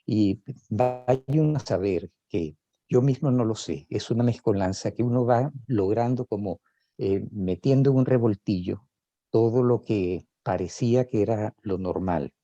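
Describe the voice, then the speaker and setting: A man's voice: lowish voice, measured pace, mellow, dry recording. An older man, a psychoanalyst, reflecting on his own life in a hesitant way, with many fillers and restarts. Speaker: psychoanalyst sharing personal experience. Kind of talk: reflective spoken testimony. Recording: dull lo-fi sound.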